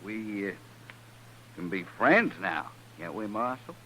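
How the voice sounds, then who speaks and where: Shifty, sharp-edged low-voiced cowhand. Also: male voice, very animated, slow pace, exaggerated voice. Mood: uneasy, ingratiating. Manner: folksy, drawling rural Western speech. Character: shifty, ingratiating male cowhand dodging the law. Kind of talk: 1950s radio western acting. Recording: old radio recording, faint hiss.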